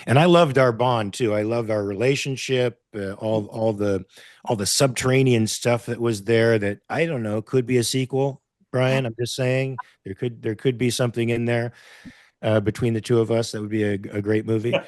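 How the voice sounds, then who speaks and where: A man's voice: mid-range voice, fairly even intonation, moderate pace, a little mellow, no echo, clean studio sound. A man, an actor, talking expansively and joking in an interview. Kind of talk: casual interview chat.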